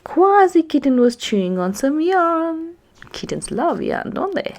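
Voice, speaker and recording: A woman's voice: high-pitched, big pitch swings, unhurried, darkish tone. A woman reading a children's story aloud, chatting and putting questions to young listeners as she explains.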